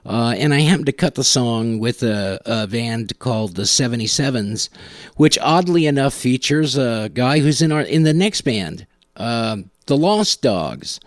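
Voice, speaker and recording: A man's voice: mid-range voice, expressive, measured pace, no echo, studio quality. A man hosting a music show, telling casual anecdotes in a wry, self-deprecating way. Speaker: podcast host and musician.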